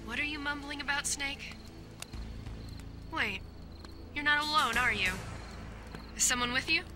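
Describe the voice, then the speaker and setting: A woman's voice: high-pitched, a little slow, over music. A woman playing a headstrong, sarcastic young female soldier in an audio drama, with a sassy, combative manner. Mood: irritated, sarcastic.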